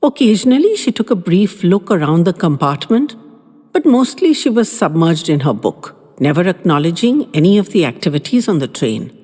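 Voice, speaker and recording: A woman narrating audio fiction in an unhurried, expressive storytelling manner. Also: fairly low pitch, breathy edge. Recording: long reverb, faint music underneath.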